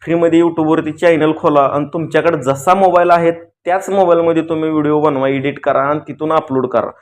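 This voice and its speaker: A man with a rural Maharashtrian accent speaks in a slightly high voice. He talks straight to his viewers in a casual vlog style, earnest and colloquial, often doubling back on a phrase to stress it. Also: steady tone, brisk, a little hiss or hum. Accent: rural Maharashtrian Marathi. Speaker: YouTuber giving advice to viewers.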